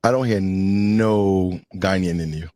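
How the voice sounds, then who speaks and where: A man's voice: low-pitched, takes it slowly, a little mellow, dry recording, studio quality. A man in casual teasing chat, laid-back and slangy, pressing questions between laughs.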